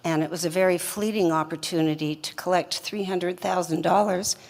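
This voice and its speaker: An older woman, a company co-founder, telling her story in an interview in a measured, plainspoken way. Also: on the low side, in a large hall.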